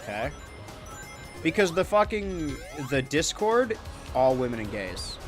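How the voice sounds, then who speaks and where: A young American man with a monotone voice, chatting loosely while streaming a video game, rambling with many restarts. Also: a little high, measured pace, faint music behind. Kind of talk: casual gameplay chat.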